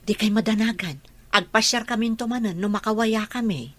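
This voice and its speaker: A woman playing a gentle, resigned rural mother in a radio drama, earnest and reassuring, with an Ilocano accent. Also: on the high side, fairly quick. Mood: apologetic, reassuring. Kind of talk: Filipino radio drama acting.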